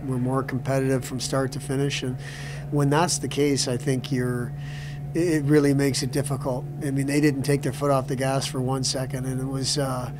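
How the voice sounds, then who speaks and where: Halting middle-aged coach at a press conference. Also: male voice, medium pitch, level tone, background noise. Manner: halting, repeats words, many restarts. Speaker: hockey coach. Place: press room before a sponsor backdrop.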